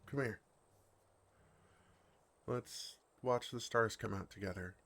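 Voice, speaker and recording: A bashful dragon played by a man speaks in a lowish voice with a creaky, gravelly edge. He is earnest and tender, hesitating awkwardly as he talks of love. It is an intimate fantasy ASMR roleplay. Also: slow pace, fairly bright tone, exaggerated voice.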